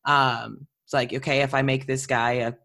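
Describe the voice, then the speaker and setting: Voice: mid-range voice, flat delivery, moderate pace, gravelly, pushed and bright. A podcast host and actor of unclear gender talking casually and conversationally while interviewing a friend.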